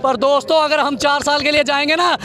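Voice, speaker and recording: A young man giving an impassioned, declamatory protest speech outdoors, shouting slogans. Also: very high-pitched, little pitch movement, brisk. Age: young adult. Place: outdoors on a street amid a crowd. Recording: crowd noise outdoors.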